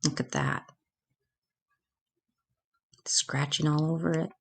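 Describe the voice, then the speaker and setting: A woman reading a children's storybook aloud expressively, with dramatic pauses. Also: deep voice, steady tone, gravelly, breathy edge.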